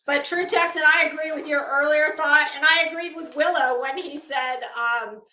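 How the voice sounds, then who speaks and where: A woman's voice: high-pitched, steady tone, moderate pace, bright, forceful tone. A woman livestreamer talking casually and reading aloud, with a blunt, sardonic, salty-tongued manner. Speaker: livestream host. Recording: echoey room.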